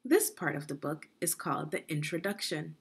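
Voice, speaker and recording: Casting: woman, middle-aged, lowish husky voice, instructive children's read-aloud with very expressive pitch. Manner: deliberate, instructive, with pauses and questions. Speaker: education consultant reading aloud to children. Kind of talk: guided read-aloud lesson for young children.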